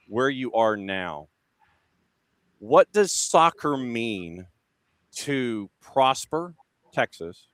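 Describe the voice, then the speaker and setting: A man's voice: mid-range voice, fairly bright tone, dry recording. An American man hosting a casual sports interview, rambling into his questions in a folksy way. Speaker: sports show host.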